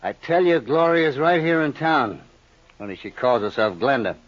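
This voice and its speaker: An American actor playing a sly small-time schemer in a 1950s radio crime drama, insinuating and full of false friendliness. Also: male voice, mid-range voice, expressive, normal speed, close to an everyday voice. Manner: smooth-talking, insinuating, mock-friendly. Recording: dull, muffled old radio recording.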